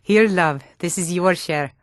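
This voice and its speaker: An actress playing a sturdy, earnest Nord woman in a fantasy video game, with clear, deliberate delivery. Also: fairly low pitch, dry recording, studio quality, natural voice. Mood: affectionate.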